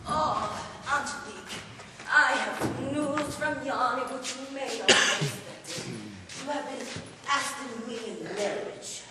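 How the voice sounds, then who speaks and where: A woman playing a cranky, bossy old hypochondriac father in an amateur stage comedy, loudly declaiming, recorded from far off in an echoing hall. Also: sits high, animated, slow. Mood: pleased, pompous. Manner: loud theatrical scolding and declaiming. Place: large echoing room at a staged play. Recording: echoey hall from far off, background noise.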